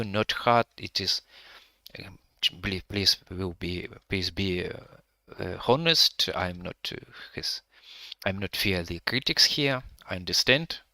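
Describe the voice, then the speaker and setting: Slow, bright-voiced man with a Russian-Ukrainian accent. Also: on the low side, no room sound. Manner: hesitant, pausing to find words; halting non-native English with frequent fillers. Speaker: virtual tour guide. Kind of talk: live-streamed virtual walking tour.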